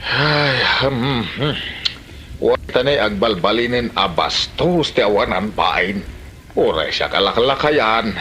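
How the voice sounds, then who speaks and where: A man's voice: on the low side, lively intonation, slow pace, sharp tone, slight background noise, exaggerated voice. A man playing a gossipy, easily offended old villager in a comedy drama, with an Ilocano Filipino accent and grumbling interjections. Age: older. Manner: exclaiming, grumbling, hesitant interjections.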